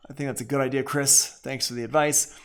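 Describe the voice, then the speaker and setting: A man giving a casual software tutorial as a music production teacher, informal and conversational, thinking aloud. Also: medium pitch, steady tone, a little fast.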